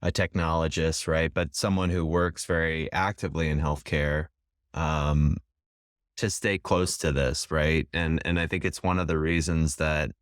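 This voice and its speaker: A man's voice: very deep voice, fairly even intonation, unhurried, clear, resonant tone, no echo, studio quality. A man hosting a podcast interview, enthusiastic and casual, with many fillers and restarts. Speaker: podcast host.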